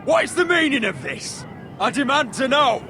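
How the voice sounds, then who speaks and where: An actor playing a proud, defiant young lord in a fantasy game, speaking in a commanding, indignant way. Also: male voice, extremely high pitch, very animated, moderate pace, exaggerated voice. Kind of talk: dramatic video game acting. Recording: clear background noise.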